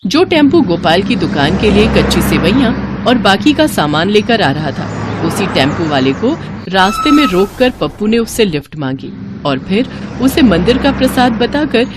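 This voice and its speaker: A woman's voice: medium pitch, big pitch swings, moderate pace, few pauses, heavy background noise. A woman narrating a scripted moral story as a storyteller.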